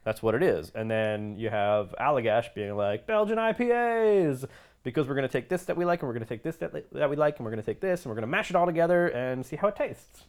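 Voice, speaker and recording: A man's voice: mid-range voice, animated, fast. A talkative man from the United States co-hosting a casual chat, explaining his points conversationally.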